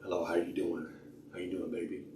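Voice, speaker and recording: A man playing a smooth-talking man courting a dance partner, in a slow, crooning, flirtatious murmur. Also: low-pitched, monotone, noisy background, close to an everyday voice. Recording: echoey room, mic at a distance.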